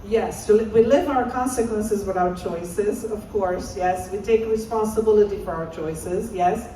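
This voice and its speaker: Woman in her 50s, mellow encouraging presenter, heard from a distance in a booming hall. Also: unhurried, a little hiss or hum. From Romania. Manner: encouraging, asks audience to call out answers. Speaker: assistant professor and presenter. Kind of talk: interactive conference talk. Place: large conference hall. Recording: echoey hall, voice far off.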